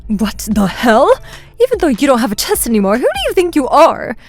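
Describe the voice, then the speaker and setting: A woman voicing a spiteful older mother-in-law who sneers and mocks, pitched higher and louder than her narration. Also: very animated, brisk, soft background music. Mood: outraged. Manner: sneering, mocking.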